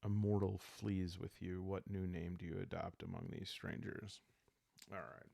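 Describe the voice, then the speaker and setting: A man calmly thinking aloud in an unhurried, casual way as he plays and narrates a solo roleplaying game. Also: low voice, creaky, no echo, clean studio sound. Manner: calm, unhurried, musing, meant for relaxed listening. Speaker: host and solo player of a journaling roleplaying game.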